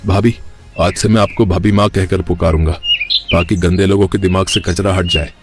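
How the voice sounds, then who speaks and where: A man's voice: deep voice, fairly even intonation, normal speed, background noise. A man playing a devoted young brother-in-law in a cartoon story, eager and respectful. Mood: earnest. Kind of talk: cartoon story character acting.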